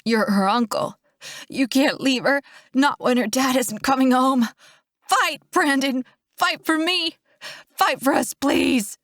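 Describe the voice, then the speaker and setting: A woman's voice: high voice, takes it slowly, a bit bright, dry recording, studio quality, pitched above the performer's own voice. A woman voicing a desperate, grieving woman in labor, pleading and crying out in a dramatic audiobook. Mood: anguished begging. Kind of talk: dramatic audiobook character acting.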